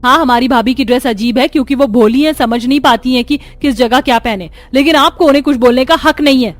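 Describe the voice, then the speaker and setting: A woman performs several characters in a cartoon family drama. Her voice sits a little high, and her delivery is animated and emphatic. Background music plays faintly under her lines. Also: brisk. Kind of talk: cartoon dialogue acting.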